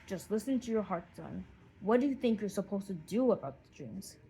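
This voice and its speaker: A woman voicing a wise, encouraging father eagle in a measured, reassuring way for a children's audiobook. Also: mid-range voice, lively intonation, mellow, husky, poor audio. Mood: calm encouraging. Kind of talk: audiobook character voice.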